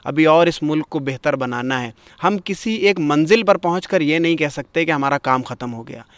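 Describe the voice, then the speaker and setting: High-voiced man, formal and declamatory. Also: a bit bright, clean tone, no room sound. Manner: declamatory, emphatic, formal literary phrasing. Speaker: presenter and poetry reciter. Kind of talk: literary talk with Urdu poetry recitation.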